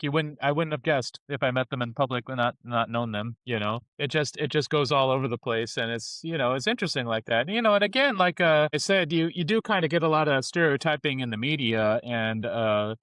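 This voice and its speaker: A man's voice: fairly high pitch, fairly bright tone, clean tone, no echo. A man co-hosting a casual podcast discussion, rambling with lots of fillers and frequent chuckles. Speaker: podcast co-host.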